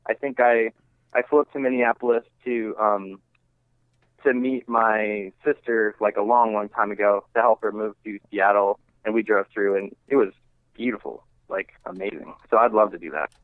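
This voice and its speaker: Low-voiced man on a phone-like line. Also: steady tone, no echo. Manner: halting, informal, rambling thought by thought. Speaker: interviewed singer-songwriter and music teacher. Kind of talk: casual radio interview talk. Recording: thin phone-line sound.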